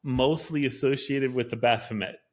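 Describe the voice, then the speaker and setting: Casual podcast co-host, male, mid-pitched, talks in long, eager, lecturing runs. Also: fairly even intonation, moderate pace. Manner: eager, lecturing explanations with many fillers.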